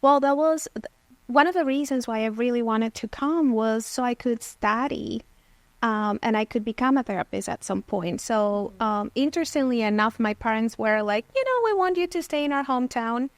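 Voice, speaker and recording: A woman in her thirties from Venezuela, a therapist, telling her story thoughtfully with many pauses and "um"s; Spanish is her first language. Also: on the high side, expressive, no room sound. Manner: thoughtful, frequent "um" and "you know". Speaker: therapist guest. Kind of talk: reflective personal storytelling.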